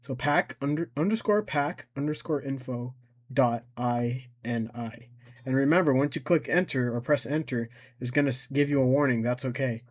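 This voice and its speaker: A man talking casually and unscripted through a screen-recorded tutorial, halting often, repeating his instructions and correcting himself. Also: medium pitch. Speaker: tutorial creator.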